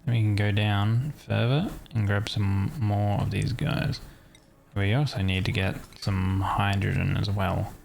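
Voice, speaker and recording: A low-voiced man with a gravelly edge talks his way through a game on a stream. He mutters his moves to himself and drops in little sung filler sounds. Faint game sounds hum behind him. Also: flat delivery, measured pace, a little mellow. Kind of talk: casual gameplay commentary.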